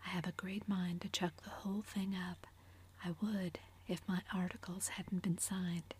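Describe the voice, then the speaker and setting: A woman's voice: lowish voice, little pitch movement, unhurried, a little hiss or hum, lightly acted. A woman whispering the part of a sullen, protective sixteen-year-old brother in a storybook reading.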